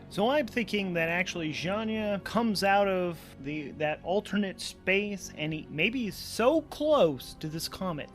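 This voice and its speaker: High-voiced man narrating a solo game. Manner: animated, conversational, thinking aloud. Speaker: host and solo game master. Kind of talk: solo tabletop game actual-play narration. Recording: background music under the voice. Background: music.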